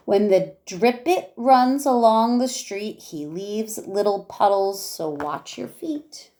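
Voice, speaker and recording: A woman teacher reading a rhyming picture book aloud in a measured way, with casual asides. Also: mid-range voice, warm tone.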